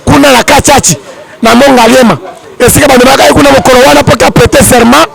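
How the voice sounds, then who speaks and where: A man's voice: very high-pitched, steady tone, quick pace, creaky, a loud crowd. A man from DR Congo with a Congolese accent giving emphatic political commentary, repeating his points for weight.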